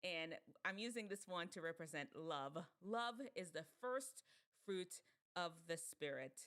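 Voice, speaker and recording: Brief: Jamaican woman hosting a casual teen talk show, animated and chatty. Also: lowish voice, measured pace, clear, resonant tone. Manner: animated, laughs often, muses aloud. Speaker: show host.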